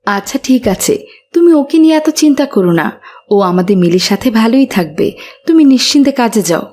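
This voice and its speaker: A woman voicing a caring, devout mother who gently admonishes in a moral cartoon. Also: on the high side, sing-song, fast talker. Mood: calm, caring. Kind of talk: Bengali moral cartoon voice acting.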